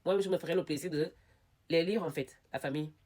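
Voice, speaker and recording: A woman's voice: low-pitched, fast, mellow. Woman commenting on news with a head cold. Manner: talks straight to viewers, repeats words for emphasis, grows emphatic on points. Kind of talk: casual news commentary to camera.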